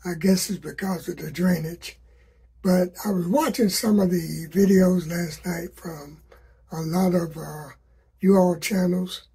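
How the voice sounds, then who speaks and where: Hoarse, slow-talking man. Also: high-pitched, fairly even intonation, dark tone. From South Carolina. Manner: slow, folksy, repeats sayings to drive a point home. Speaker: gardening vlogger. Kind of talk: casual advice to viewers.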